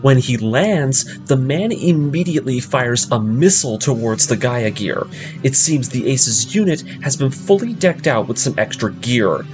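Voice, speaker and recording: A man with a mid-pitched voice hosts a story recap series over clearly audible background music. He narrates in a scripted storytelling style and mixes in casual, fan-style commentary. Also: expressive, a little slow. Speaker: host of an anime story breakdown series.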